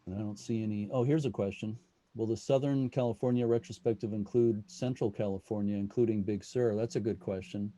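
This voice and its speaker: A man's voice: on the low side, steady tone, a little fast, dark tone, no room sound. A man casually moderating a question session on a video call as the host. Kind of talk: casual moderating of an online meeting.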